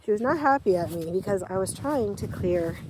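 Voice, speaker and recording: A woman's voice: medium pitch, animated, brisk. A woman chatting casually to her camera outdoors on a farm, rambling and self-deprecating as she tells a story. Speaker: farm vlogger. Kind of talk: casual outdoor vlog chat. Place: outdoors on a farm by a frozen pond. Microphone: handheld camera. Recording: loud outdoor noise on camera mic.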